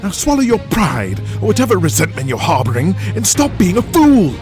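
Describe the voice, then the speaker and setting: A man's voice: high voice, sing-song, a little fast, over music, pitched above the performer's own voice. A man voicing a blunt, exasperated adviser to a boss in an audio drama, urgent and scolding.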